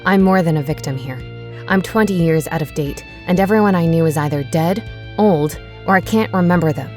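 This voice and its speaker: A woman's voice: lowish voice, a little fast, faint music behind, lightly acted. A woman reading voicing a bitter, newly cloned man who lashes out in anger. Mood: angry. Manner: angry, forceful.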